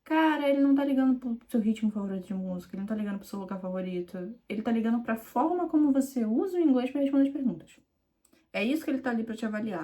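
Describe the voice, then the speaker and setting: A woman's voice: mid-range voice, dark tone. Emphatic Carioca woman teaching to camera. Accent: Carioca Brazilian Portuguese. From Rio de Janeiro, Brazil. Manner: conversational, direct, addresses viewer informally with "tu"; emphatic and repetitive. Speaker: IELTS speaking mentor. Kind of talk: direct-to-camera teaching video.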